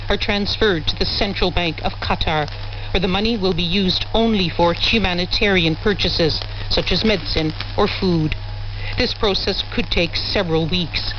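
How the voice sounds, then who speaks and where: A woman with a fairly low voice delivers a scripted news report as a correspondent. The relay is dull, with clear shortwave noise.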